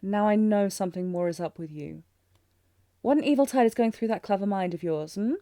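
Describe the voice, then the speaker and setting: A woman's voice: medium pitch, brisk, mellow, no room sound, clean studio sound, lightly acted. A woman playing a tender, anxious young princess in a romantic audio drama, speaking formally and hesitantly with sighs. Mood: concerned, questioning. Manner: formal archaic diction, hesitant, breathy sighs. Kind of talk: scripted romantic fantasy audio roleplay, intimate.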